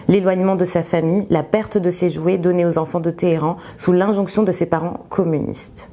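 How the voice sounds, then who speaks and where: A young woman talking through a book review, reading a synopsis aloud and then speaking with many pauses, fillers and restarts. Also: lowish voice, warm tone. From Egypt.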